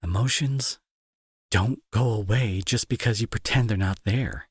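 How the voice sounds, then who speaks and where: A young man playing a remorseful bully turned tender caretaker in an intimate audio drama, speaking softly and earnestly with a breathy, husky, hesitant delivery. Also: fairly low pitch, unhurried, no echo, studio quality. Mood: tender, reassuring. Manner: soft, hesitant, earnest, reassuring.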